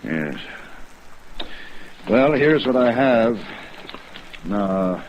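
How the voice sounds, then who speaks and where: A man's voice: mid-range voice, very animated, drawn-out words. An actor playing a haggard, troubled businessman and loyal family friend in an old radio drama, speaking earnestly and hesitantly. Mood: earnest, businesslike. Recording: muffled, noisy vintage radio recording.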